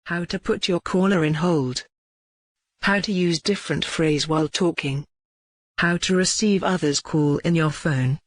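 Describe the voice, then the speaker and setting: A tutorial narrator reading scripted instructions in a measured, formal way. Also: mid-range voice, no room sound.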